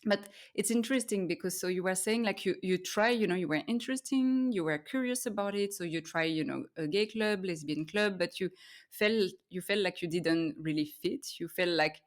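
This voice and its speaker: A woman's voice: on the low side, normal speed. A native French-speaking woman with a French accent, casually chatting as a podcast interviewer.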